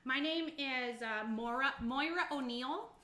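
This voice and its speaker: A woman acts out a gum-popping young theatre box office worker in a tabletop game. Her voice is high and bright, casual and hesitant, with room echo around it. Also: a little slow. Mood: casual, questioning. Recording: roomy, echoing sound.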